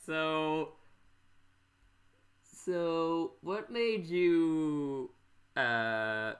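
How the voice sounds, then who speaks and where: An American man hosts a casual interview podcast in a bright, sharp voice. He talks in a rambling, halting way, often doubling back and repeating his words. The tone is that of informal chat. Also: medium pitch. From Minnesota, US. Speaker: podcast host.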